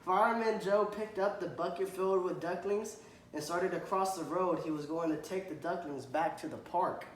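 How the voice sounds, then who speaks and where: Man, fairly high voice, reading a picture book aloud, pauses for questions, echoey room. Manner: clears throat often. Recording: echoey room, faint background noise.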